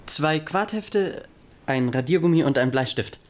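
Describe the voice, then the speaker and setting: An actor playing a moody, defensive young student in a radio play. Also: male voice, on the high side, expressive, a little slow. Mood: shy, halting. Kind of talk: German literary radio play dialogue.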